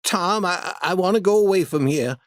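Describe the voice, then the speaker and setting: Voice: medium pitch, lively intonation, measured pace, no room sound, clean studio sound, pitched above the performer's own voice, natural voice. A storybook reader voicing a timid, superstitious boy who speaks hesitantly and nervously.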